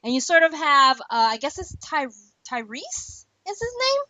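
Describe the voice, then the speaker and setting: A woman's voice: high voice, big pitch swings, normal speed, sharp tone, no echo. A woman hosting a podcast in a casual, rambling chat, laughing often. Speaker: podcast host. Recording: dull lo-fi dry recording.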